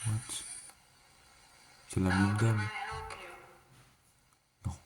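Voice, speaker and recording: A man's voice: fairly low pitch, little pitch movement, very slow, music in the background. A man giving a casual, slangy reaction commentary, thinking aloud and repeating himself. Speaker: reaction video creator. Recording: clearly noisy background.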